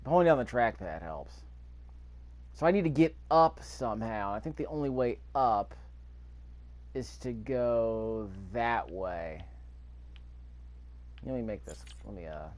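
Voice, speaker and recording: A man's voice: fairly low pitch, animated, takes it slowly, pauses often, darkish tone. A man giving casual gameplay commentary, thinking aloud with mild grumbling and rhetorical questions. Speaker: video game player narrating a playthrough.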